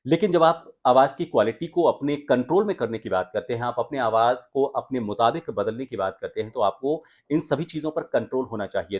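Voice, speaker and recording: एक आदमी जो आवाज़ सुधारने का पाठ पढ़ाता है, हमिंग की आवाज़ें करके दिखाता है और हिंदी लहजे में बोलता है।. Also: male voice, medium pitch, a little mellow. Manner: explanatory, demonstrates humming sounds. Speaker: voice coach and anchor. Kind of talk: voice training lesson.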